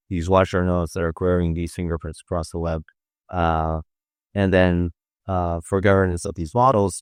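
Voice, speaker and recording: Male interview guest, very low smooth voice, hesitant and measured company spokesman. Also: dry recording, clean studio sound. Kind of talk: informal interview answers.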